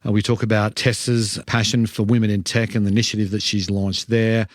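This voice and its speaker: A man hosts a podcast in an upbeat, measured way. His voice sits somewhat low. The sound is close and dry, with almost no room in it. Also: little pitch movement, no room sound. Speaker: podcast host. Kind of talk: scripted podcast intro and interview questions.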